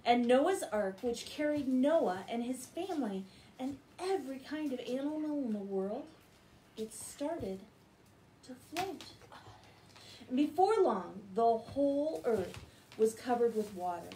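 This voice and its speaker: High-voiced American woman telling bedtime stories. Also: animated, measured pace, dark tone, slight background noise, low-quality recording. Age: middle-aged. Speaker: children's Bible story reader. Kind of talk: bedtime story reading and talk to children. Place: living room at home, indoors.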